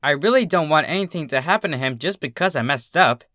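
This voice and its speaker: A narrator voicing a brash, energetic young female pegasus pony, casual and excitable. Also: mid-range voice, quick pace, no room sound, clean studio sound, natural voice. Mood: earnest.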